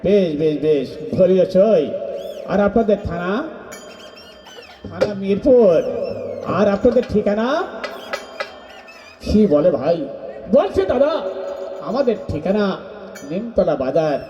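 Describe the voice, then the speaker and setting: A man's voice: high-pitched, animated, slow pace, pauses often, lo-fi sound, a little hiss or hum. A man with a Bangladeshi accent presenting a folk puppet show in theatrical call-and-response patter, repeating answers aloud. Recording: echoey, loud folk percussion and music.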